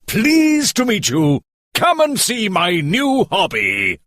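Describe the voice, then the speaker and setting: A man voicing a boastful, excitable rich male toad in a storybook reading, talking in gushing exclamations. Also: high voice, slow pace, no room sound, clean studio sound, voice pushed up, heavily acted. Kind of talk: audiobook character voice.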